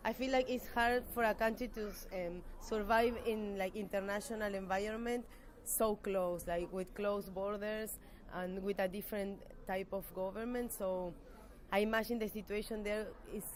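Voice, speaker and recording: A woman's voice: medium pitch, fairly even intonation, normal speed, slight background noise. A young adult woman with an Argentine accent, giving hesitant, thoughtful answers in an informal interview. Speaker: master's student interviewee.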